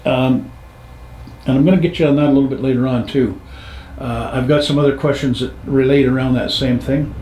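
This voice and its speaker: A man's voice: medium pitch, steady tone, brisk, warm tone, slight background noise. A man hosting an informal interview, with a folksy, easygoing way of phrasing questions. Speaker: interviewer, show host. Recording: echoey room.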